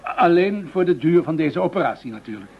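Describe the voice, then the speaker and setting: An actor in a Dutch radio play playing a senior official who mediates in a formal, measured, businesslike manner. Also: male voice, a little high, expressive, vocal fry, slightly rough, heavily acted. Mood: calm, firm. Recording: dull, muffled radio drama recording.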